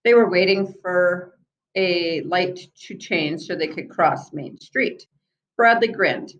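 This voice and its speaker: A woman reading a children's book aloud in an expressive storyteller's manner, with small asides to her listeners. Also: fairly low pitch, slow, muffled recording. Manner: expressive, acts out the children's dialogue.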